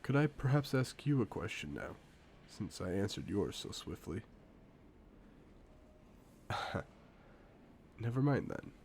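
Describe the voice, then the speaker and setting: A man playing a suave, confident secret agent in a spy audio drama, speaking in a playful, theatrical, deliberate way. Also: lowish voice, big pitch swings, a little mellow, a little hiss or hum, exaggerated voice. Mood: teasing. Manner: smooth-talking, playful, theatrical, deliberate phrasing. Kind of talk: scripted one-sided audio roleplay, spy drama.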